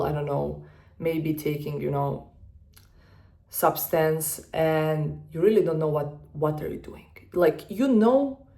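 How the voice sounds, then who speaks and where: Slow, low-voiced young Serbian woman. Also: warm tone. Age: 20s. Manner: earnest, searching for words. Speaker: vlogger. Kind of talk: personal talk to camera.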